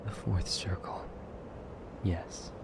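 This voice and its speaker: A man playing a brooding, wounded demon lover in an intimate audio roleplay, speaking in a hushed, breathy, measured way. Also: in a whisper, close to an everyday voice. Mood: brooding, weary.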